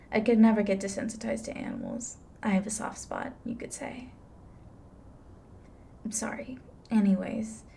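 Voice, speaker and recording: A woman playing a blunt, weary, reluctantly soft-hearted reaper in an intimate ASMR roleplay, dry and matter-of-fact. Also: medium pitch, vocal fry, slight background noise. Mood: dry, wry, then probing, matter-of-fact.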